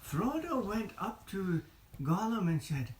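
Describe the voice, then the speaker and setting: A woman's voice: sing-song, slow pace, darkish tone. A woman improvising a bedtime story for children in simple, repetitive phrases. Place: indoors in a room. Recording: echoey room, faint noise, low volume.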